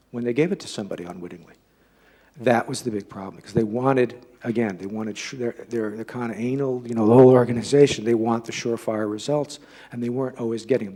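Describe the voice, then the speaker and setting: Guy lecturing off the cuff, kinda raspy voice, keeps stopping and rewording stuff. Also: medium pitch, darkish tone. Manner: halting, repeats and rephrases himself. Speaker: lecturer giving a talk and taking audience questions. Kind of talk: informal public lecture.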